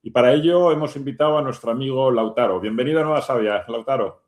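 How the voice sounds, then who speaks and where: A male radio host talks in a mid-pitched voice with a noticeable room echo. He interviews conversationally, pausing often and checking in with short tag questions. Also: darkish tone. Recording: roomy, echoing sound.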